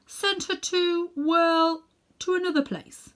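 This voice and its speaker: Woman acting middle-aged gentleman magician, pompous, condescending, sinister, self-important, drawn-out phrasing, storybook villain. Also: very high voice, steady tone, voice pushed up, exaggerated voice. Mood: smug, gleeful. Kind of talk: children's storybook character reading.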